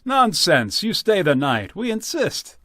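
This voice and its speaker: Radio drama: man as folksy old father, genial, very animated intonation, pitched a bit high. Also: measured pace, dry recording, studio quality, full character voice. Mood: insistent, genial. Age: older.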